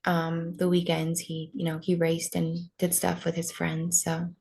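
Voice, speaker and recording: A woman's voice: lowish voice, completely flat delivery, measured pace, gravelly, a little mellow, slightly rough. A young woman from Maine telling her life story in an interview, candid, with many ums and likes. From Maine, USA. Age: 20s. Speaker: podcast guest. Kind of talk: personal interview talk.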